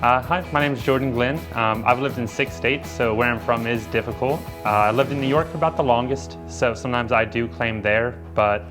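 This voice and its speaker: A man's voice: medium pitch, steady tone, a little fast, hardly any pauses. A young man, a nursing student, speaking earnestly and reflectively in an interview, with frequent fillers. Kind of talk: documentary interview. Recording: music playing underneath.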